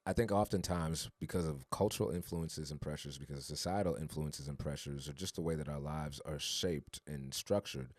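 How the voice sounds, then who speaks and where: A man's voice: extremely low pitch, moderate pace, few pauses, a little mellow, dry recording, clean studio sound. A man co-hosting a podcast, talking in an earnest, reflective way that builds to emphatic urging. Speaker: podcast co-host. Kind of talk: casual podcast conversation.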